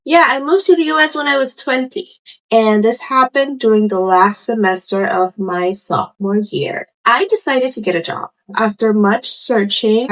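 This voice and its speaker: A woman, telling stories casually to camera in an animated, conversational way full of asides. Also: on the high side, rough recording. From Puerto Rico. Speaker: host of a storytime video channel. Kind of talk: casual chatty storytelling to camera.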